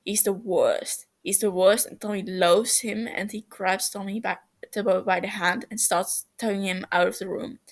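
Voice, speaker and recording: A woman narrating a story as an amateur reader, halting a little, with small stumbles and self-corrections. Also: mid-range voice, steady tone. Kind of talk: storybook-like narration of fan fiction.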